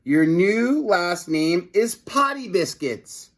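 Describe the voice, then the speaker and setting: A man's voice: high voice, sing-song, slow pace, voice pushed up, exaggerated voice. A man voicing a gloating, bossy mad professor villain with a commanding, mockingly gleeful manner.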